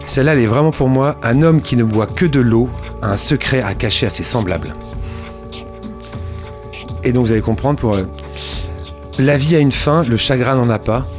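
A man's voice: medium pitch, normal speed, long pauses, music in the background. A man hosting a radio show, talking in a loose, rambling way with many fillers and restarts. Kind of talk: casual radio chat.

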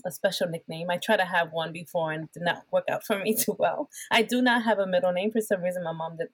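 A middle-aged woman from Puerto Rico, a worship pastor and podcast guest, chatting warmly and laughing often. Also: fairly low pitch, quick pace, no room sound. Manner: warm, laughs often, animated. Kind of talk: casual chat.

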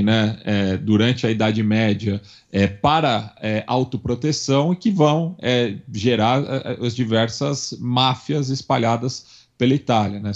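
Low-voiced São Paulo man, muffled audio. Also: darkish tone. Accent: São Paulo Brazilian Portuguese. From São Paulo, Brazil. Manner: halting, discursive, frequent "né" tags. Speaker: podcast panelist. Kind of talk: conversational historical and political commentary.

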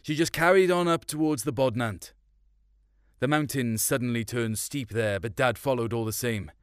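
A man's voice: medium pitch, normal speed, smooth, no room sound, studio quality. A man narrates a short story in the first person, in a colloquial, confiding way.

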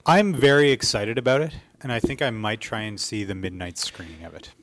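A man's voice: fairly low pitch, expressive, brisk, fairly bright tone. A man co-hosting a podcast, talking casually and conversationally with his partner. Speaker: podcast co-host. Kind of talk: casual conversation about films.

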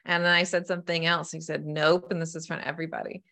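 A woman who works as a spiritual medium, talking casually on a podcast and telling animated stories that act out quoted dialogue. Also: low-pitched, fast talker, gravelly.

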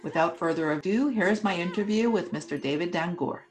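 A woman's voice: lowish voice, fairly quick. Woman interviewer reading a formal introduction. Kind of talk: scripted introduction.

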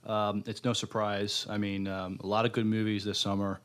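A man's voice: on the low side, flat delivery, normal speed, a bit bright. A man co-hosting a podcast in casual chat. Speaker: podcast co-host.

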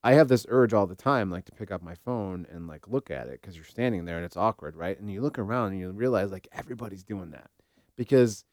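A man's voice: low voice, brisk, darkish tone, no room sound, clean studio sound. A man hosting a podcast in casual conversation, thinking aloud in loose, informal sentences. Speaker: podcast host.

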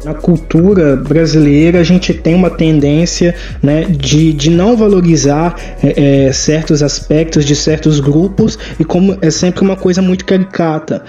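A young man in his twenties from Pará, speaking as an interview guest and pausing often with fillers. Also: fairly high pitch, dark tone, lo-fi sound, faint music behind. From Pará, Brazil. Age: 20s. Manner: halting, many fillers. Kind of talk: conversational interview.

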